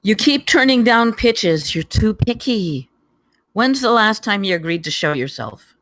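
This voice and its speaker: A woman's voice: mid-range voice, expressive, unhurried, fairly bright tone, no echo. A woman playing a blunt, unimpressed, businesslike handler in a comedy table read, with terse delivery. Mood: exasperated.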